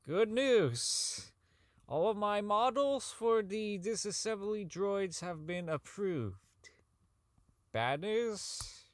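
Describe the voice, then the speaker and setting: A man's voice: high-pitched, animated, takes it slowly, dry recording. A man playing a socially inept, embittered robot designer, stammering and swearing as he records logs in an audio drama. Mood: bitter. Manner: stammering, profane, ranting. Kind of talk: fan audio drama, log monologue.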